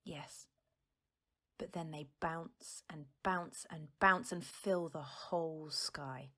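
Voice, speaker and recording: An actress playing a patient, reassuring mother who gently explains things to her child in an audio drama. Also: sits low, steady tone, takes it slowly, exaggerated voice.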